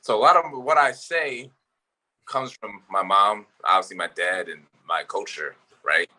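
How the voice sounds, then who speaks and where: A man's voice: fairly low pitch, measured pace, sharp tone, low-quality recording. A man from Barbados, talking loosely and reflectively as a podcast co-host. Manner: loose, reflective, swearing freely. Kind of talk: casual chat.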